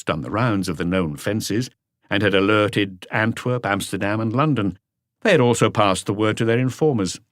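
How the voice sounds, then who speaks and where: Male audiobook narrator, low pitch, lively intonation, dry studio-like sound. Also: no room sound. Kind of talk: scripted story narration.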